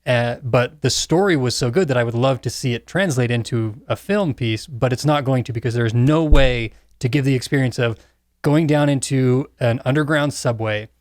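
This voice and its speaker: A man's voice: mid-range voice, moderate pace, dry recording. Dry-sounding man chatting on a podcast. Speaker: podcast panelist. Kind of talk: casual chat.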